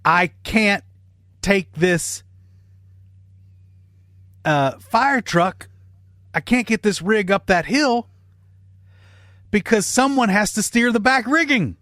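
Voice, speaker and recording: A man's voice: sits high, expressive, slow pace, pauses often, pushed and bright, no room sound, clean studio sound. A man casually telling a long comic story, swearing freely and acting out bits of dialogue. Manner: profane, acts out quoted dialogue. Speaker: comedy podcast storyteller.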